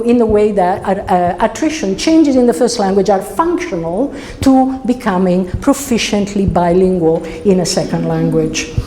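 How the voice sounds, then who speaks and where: A middle-aged woman with an Italian accent delivers an academic lecture in a conversational way, feeling her way through phrases. Her voice is dark and mellow at a medium pitch. The sound is roomy and reverberant, as in a lecture hall. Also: slight background noise. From Italy. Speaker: university researcher giving a symposium lecture.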